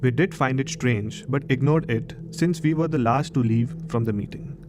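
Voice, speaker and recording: A man's voice: medium pitch, moderate pace, noisy background. A man in his thirties from Maharashtra, India, with an Indian accent, hosting and narrating a horror story show. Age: 30s.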